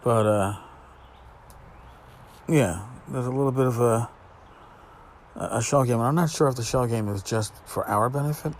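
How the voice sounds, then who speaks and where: A man's voice: medium pitch, moderate pace, long pauses. A man hosting a podcast alone, talking casually in a rambling, exasperated, sarcastic way. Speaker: podcast host. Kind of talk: casual solo commentary, reading out emails. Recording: noticeable background noise.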